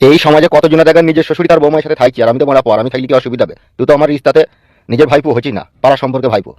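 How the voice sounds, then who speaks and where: A man's voice: a little high, steady tone, racing, darkish tone, dry recording, natural voice. A man playing a scheming, bossy middle-aged village uncle in a comic cartoon. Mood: dismissive, scornful.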